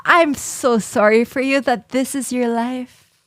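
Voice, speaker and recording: A woman's voice: fairly high pitch, slow pace, fairly bright tone, no room sound, studio quality. A woman with a Filipino accent, a livestreamer reading viewer confessions aloud and reacting bluntly. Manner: blunt and exasperated in reactions, mocking the writer.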